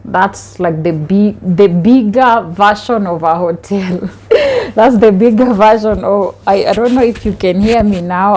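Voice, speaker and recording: Slow-talking Kenyan woman vlogger. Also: medium pitch. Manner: chatty, laughs often, slow and relaxed. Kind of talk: casual chat to camera. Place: home kitchen area.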